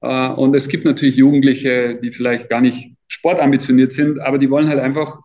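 A man's voice: medium pitch, flat delivery, brisk, darkish tone. A man in his fifties speaking as a trainer being interviewed, in a casual, colloquial and emphatic way. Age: 50s. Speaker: athletic trainer and interview guest. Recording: dull, lo-fi audio.

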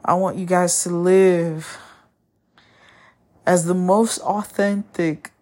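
A woman whose first language is Spanish, hosting a podcast and talking candidly about a breakup, often pausing to find her words. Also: on the low side, fairly even intonation, dark tone. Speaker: podcast host. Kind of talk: personal monologue.

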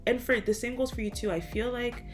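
A woman's voice: fairly high pitch, steady tone, brisk, over music. A woman in her twenties co-hosting a casual chat with a friend, slangy, teasing and easygoing. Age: 20s.

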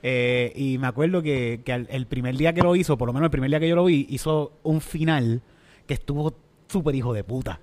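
A man's voice: medium pitch, a little fast, darkish tone. A man with a Puerto Rican accent, a comedian hosting a podcast, talking frankly and swearing freely as he gives advice. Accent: Puerto Rican Spanish. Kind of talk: casual podcast chat.

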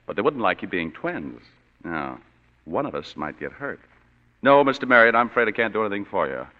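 A wry hard-boiled detective. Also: male voice, on the low side, big pitch swings, fairly quick. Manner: dry, wisecracking. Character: wisecracking hard-boiled male private detective. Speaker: narrator and lead. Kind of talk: 1940s radio acting. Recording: dull old radio broadcast with faint music.

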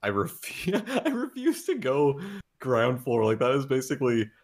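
A man's voice: mid-range voice, wildly swooping pitch, normal speed. A man co-hosting a casual movie podcast, rambling with lots of restarts and frequent laughter. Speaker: podcast co-host. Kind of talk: casual movie review chat.

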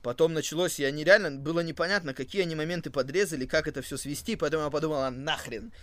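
A man's voice: fairly high pitch, quick pace, a bit bright, no echo. A man co-hosting a podcast in casual chat, thinking aloud with hesitations and repeated phrases. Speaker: co-host of a wrestling podcast.

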